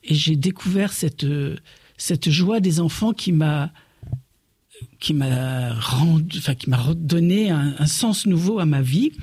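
A woman in her sixties from Lorraine, a nun, recalling her calling in a gentle, hesitant way with frequent restarts. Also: low voice, expressive, takes it slowly, husky, no echo. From Lorraine, eastern France. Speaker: nun, interviewee. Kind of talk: reflective personal testimony.

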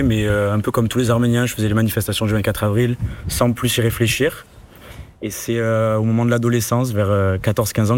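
Low-voiced young man. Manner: relaxed, frequent fillers. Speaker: law student guest. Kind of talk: casual interview.

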